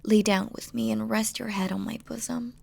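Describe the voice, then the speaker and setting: A woman playing a devoted, regal demon queen in a romantic audio roleplay, speaking softly and formally to her lover, often dropping to a whisper. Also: on the high side, steady tone, moderate pace, creaky. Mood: soft, affectionate. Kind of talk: scripted romantic audio roleplay, spoken intimately to the listener.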